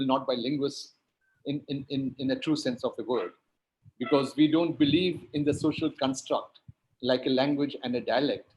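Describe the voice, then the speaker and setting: A man's voice: mid-range voice, poor audio. A man whose first language is Hindi and Urdu, speaking on a panel in an emphatic, lecture-like way with rhetorical repetition. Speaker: linguistics academic, panelist.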